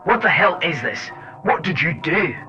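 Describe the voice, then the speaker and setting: A man's voice: a little high, expressive, moderate pace, lightly acted. Muffled, wry male agent in radio drama, played by an actor. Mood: alarmed, angry. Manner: colloquial, wry, swearing. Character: sardonic, hot-tempered male agent recounting his ordeal. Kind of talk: first-person scripted drama narration. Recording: dull lo-fi cassette with music.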